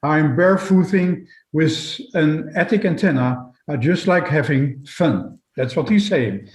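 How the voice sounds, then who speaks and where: A man moderating a webinar, reading out audience questions and comments in a halting manner. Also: fairly high pitch, lively intonation, darkish tone. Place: indoors, online webinar. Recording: echoey room sound.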